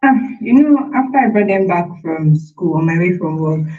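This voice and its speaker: A woman's voice: on the low side, lively intonation, moderate pace, natural voice. A woman with a Nigerian accent playing a busy, caring working wife and mother in a radio drama. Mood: caring, concerned. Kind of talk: amateur radio drama acting. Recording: muffled, distant, noisy recording with clicks.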